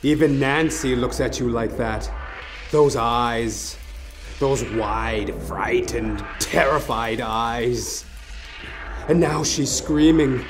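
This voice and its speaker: A man narrating a horror audio play dramatically, intense and theatrical, pausing between short phrases. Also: mid-range voice, over music.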